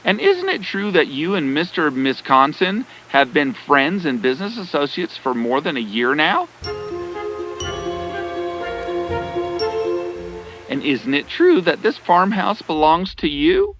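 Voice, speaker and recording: A man playing a confident, sharp young detective in an animated story, speaking deliberately and with authority. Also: a little high, poor audio. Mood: accusing. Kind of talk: dramatic cartoon story acting. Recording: background music under the voice.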